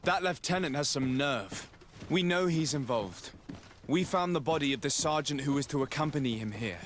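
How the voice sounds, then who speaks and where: An actor playing a calm, authoritative male diplomat in a video game, speaking formally. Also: on the high side, slight background noise, close to an everyday voice. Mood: urgent. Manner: measured, formal.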